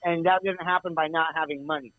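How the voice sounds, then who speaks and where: A man's voice: a little high, fairly even intonation, brisk, noisy background. A man from Syria talking as a podcast guest in an impassioned, emphatic and insistent way, over thin remote call audio. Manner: emphatic, insistent, repeats phrases for force. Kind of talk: impassioned casual discussion.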